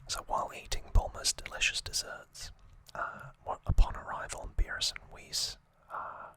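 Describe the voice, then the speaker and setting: A man speaks in a soft, breath-only whisper with a bright, sharp edge. He hosts ASMR, recapping trivia informally and haltingly, often correcting himself as he explains. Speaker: ASMR host.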